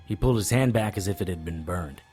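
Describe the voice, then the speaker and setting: An actor playing a weary, lovestruck occult investigator who narrates his own case in a wry, measured way for an audio drama. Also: deep voice, mellow, close to an everyday voice. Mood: somber, measured. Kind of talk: first-person noir audio drama narration and dialogue. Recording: music under the voice.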